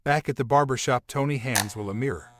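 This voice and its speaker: A man's voice: medium pitch, brisk, no echo. Dry-sounding male story narrator.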